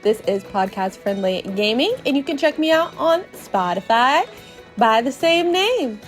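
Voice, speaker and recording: A woman hosting a podcast, narrating a video game playthrough as an expressive story with playful asides. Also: high-pitched, a little slow. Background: music.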